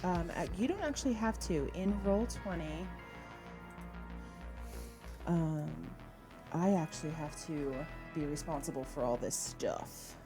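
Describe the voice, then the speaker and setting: Lady game master with a lower voice explaining rules to players, pausing a bit, music playing behind her. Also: lively intonation. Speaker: game master of an online tabletop roleplaying game.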